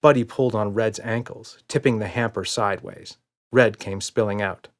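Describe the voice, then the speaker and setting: A man's voice: on the low side, steady tone, moderate pace. A man reading a children's audiobook as narrator, in scripted storytelling style.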